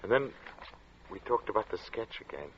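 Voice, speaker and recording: An actor playing an earnest narrator of a strange tale, speaking in a measured, theatrical 1940s radio drama style. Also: male voice, fairly low pitch, animated, slight background noise, natural voice. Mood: polite, probing. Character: earnest male narrator recounting a strange encounter. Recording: muffled vintage radio recording with music.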